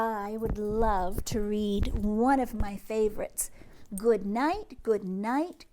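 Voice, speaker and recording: A woman reading a children's picture book aloud, expressively, with drawn-out words and hushed asides, speaking directly to young listeners. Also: on the high side. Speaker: teacher or read-aloud host reading to children.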